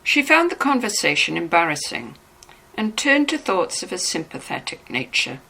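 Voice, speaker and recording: Lively, bright-voiced woman narrating. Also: fairly low pitch, normal speed, gravelly, low-quality recording. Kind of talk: scripted novel narration.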